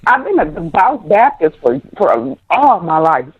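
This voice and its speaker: A woman's voice: fairly low pitch, sing-song, slow, breathy edge. Congested woman caller on phone. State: congested with a cold. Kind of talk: phone call-in. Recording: dull phone line.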